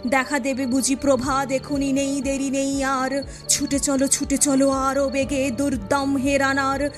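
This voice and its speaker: Woman doing dramatic poem recitation, slow and expressive, high voice, music clearly playing behind. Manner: expressive, measured, emphatic delivery with drawn-out phrases. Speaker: poetry reciter.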